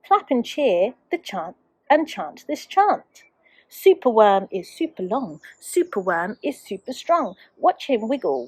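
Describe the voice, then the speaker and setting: Female primary teacher as storyteller: expressive, slow-paced, fairly high voice for small children. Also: no room sound, low-quality recording. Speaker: teacher reading a children's book. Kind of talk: expressive rhyming storybook narration for young children.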